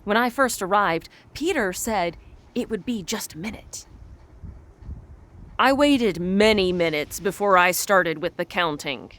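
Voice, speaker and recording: An impatient soul stuck waiting, played by a woman, speaks slowly and precisely. The manner is dry and simmering with exasperation, in an audio drama monologue. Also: mid-range voice, a bit bright. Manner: measured, precise, dryly exasperated.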